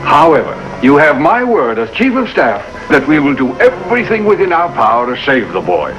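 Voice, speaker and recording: High-voiced, animated hospital chief. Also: male voice, moderate pace, highs cut off, over music. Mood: firm, grave. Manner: formal, emphatic. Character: earnest, exasperated male hospital chief of staff. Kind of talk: 1950s television drama acting.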